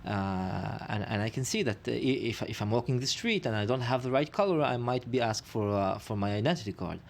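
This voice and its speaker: A man speaking as a studio guest, talking haltingly with many restarts and fillers. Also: fairly low pitch, lively intonation, creaky. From Israel. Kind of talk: studio discussion.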